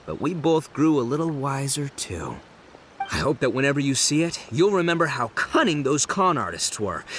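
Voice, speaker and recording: Boisterous slangy game tough guy, bright punchy tone. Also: on the high side, slight background noise. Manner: loud, excitable, slangy. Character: brash boisterous young male gang leader. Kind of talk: game cutscene voice acting.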